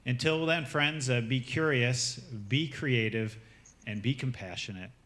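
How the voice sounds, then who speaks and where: Guy wrapping up a church show, upbeat and inviting, mid-pitched voice. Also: fairly bright tone. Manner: upbeat, inviting, pauses on fillers. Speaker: church host. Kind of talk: closing remarks and announcements.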